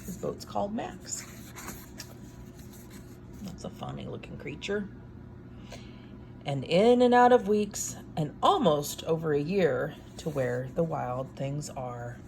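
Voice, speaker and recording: A woman reading a picture book aloud in an expressive, unhurried way, with wry, casual asides. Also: sits low, vocal fry, a little hiss or hum, low-quality recording.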